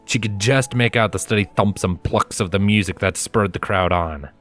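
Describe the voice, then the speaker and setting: A man narrates a story in a fairly deep voice. His delivery is measured and expressive, following a written script. Soft music runs underneath.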